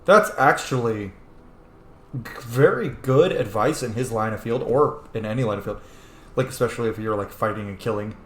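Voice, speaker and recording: A man's voice: mid-range voice, moderate pace, echoey room. A man giving casual, informal playthrough commentary while role-playing a video game, reacting and swearing freely.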